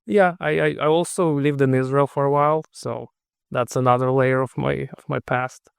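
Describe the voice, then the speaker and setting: A middle-aged man with a Russian accent talks things through in a measured, thoughtful way, restarting his phrases as he searches for the right words. His mid-pitched voice has a gravelly edge. The sound is dry and close. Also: fairly even intonation, no echo. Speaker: podcast guest. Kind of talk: casual interview conversation.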